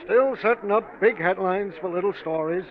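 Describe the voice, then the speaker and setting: A man's voice: high-pitched, normal speed, lightly acted. An actor playing a folksy, complaining press-room workman in a 1940s radio drama, colloquial and grumbling. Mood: grumbling, familiar. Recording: narrow-band vintage radio recording.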